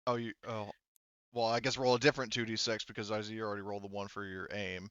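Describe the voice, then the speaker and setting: A man with a clear, smooth voice runs a tabletop roleplaying session as game master. The sound is dry and close, and his delivery is casual and conversational. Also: lowish voice, flat delivery, fast talker, fairly bright tone, no echo, muffled recording.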